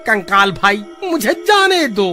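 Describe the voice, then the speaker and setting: Man playing a shrill, high-pitched hapless villager. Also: big pitch swings, measured pace, pushed and bright, heavily acted. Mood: panicked pleading. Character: hapless, excitable village man, pleading and irritable. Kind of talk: Hindi horror cartoon acting. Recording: music under the voice.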